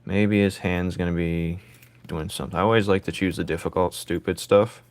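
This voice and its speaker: A man with a raspy voice streaming his drawing, thinking aloud in casual chat and joking with viewers. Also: deep voice, fairly even intonation, moderate pace, no room sound.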